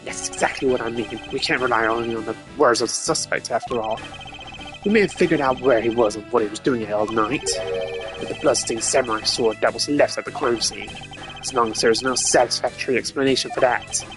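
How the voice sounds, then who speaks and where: A man's voice: mid-range voice, fairly quick, lightly acted. A man voicing a cocky, suspicious video game agent, taunting and confrontational, with music under the voice. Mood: stern warning. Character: cocky, suspicious male agent, self-styled wolf. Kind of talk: video game character dub.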